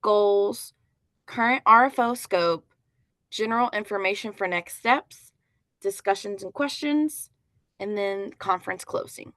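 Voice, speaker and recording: A woman moderating a webinar, briskly reading through a list of agenda items. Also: medium pitch, a bit bright, no room sound. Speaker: meeting moderator.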